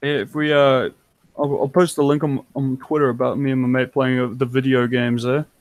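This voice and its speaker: A man's voice: mid-range voice, level tone, a little fast, dry recording. A man born in England and raised in New Zealand, with a mixed English and New Zealand accent, chatting casually on a call and laughing at himself. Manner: self-deprecating, laughs often. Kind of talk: casual group chat.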